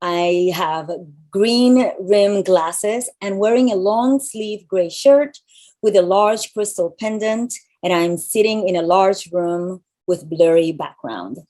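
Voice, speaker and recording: Deep-voiced woman with a mild Spanish accent. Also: takes it slowly, a little mellow. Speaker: event host. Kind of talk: reading scripted welcome announcements. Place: large room.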